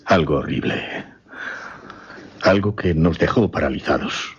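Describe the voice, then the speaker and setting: An actor playing a shaken gentleman recounting a supernatural horror in a radio play, grave, formal and halting. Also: extremely low pitch, husky, full character voice. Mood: grave dread. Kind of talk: suspense radio theatre acting. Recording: dull, muffled radio recording.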